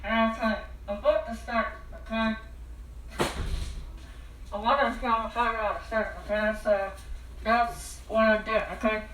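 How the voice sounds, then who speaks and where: A woman's voice: on the high side, steady tone, a little slow, pauses often, a bit bright, slight background noise, rough recording. A woman vlogging casually at home into her phone, talking through a cardboard tube and ending nearly every sentence with a questioning "okay?". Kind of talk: rambling casual vlog talk. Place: indoors at home.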